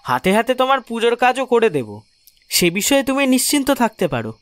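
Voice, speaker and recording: An actor playing a curious, jovial, food-loving sidekick in an audio drama, talking in an eager and inquisitive way. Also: male voice, very high voice, very animated, brisk, no echo. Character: chatty, curious, food-loving male friend, comic sidekick. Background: faint background music.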